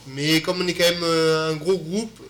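A man's voice: a little high, steady tone, moderate pace, a bit bright, slight background noise. A man from Occitanie, a party organizer and DJ, talking casually and hesitantly as a radio guest. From Occitanie, France. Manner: hesitant, lots of fillers, easygoing. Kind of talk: casual interview talk.

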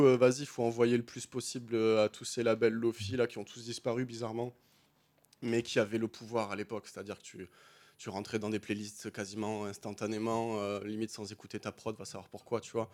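A man's voice: medium pitch, darkish tone. A man, a beatmaker guest on a radio show, talking casually about making music, with loose slangy phrasing and many fillers.

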